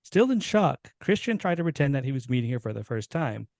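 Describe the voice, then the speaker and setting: A man's voice: medium pitch, sing-song, fairly quick, vocal fry, darkish tone, no room sound. A man, a podcast host, giving a dramatic reading of a short story, now and then tripping over words and correcting himself.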